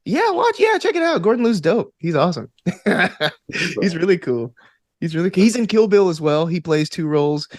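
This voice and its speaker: A man's voice: sits high, very animated, moderate pace, darkish tone, no echo, studio quality. A man talking casually as an interview guest, with an enthusiastic, geeky manner and a habit of rambling off on tangents. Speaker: podcast guest, actor and tabletop gamer.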